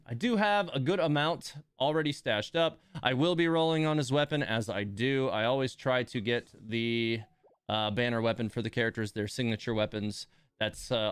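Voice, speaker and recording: A man's voice: mid-range voice, clear, resonant tone, no room sound. A man hosting a game livestream, chatting casually and informally to his viewers in a rambling way.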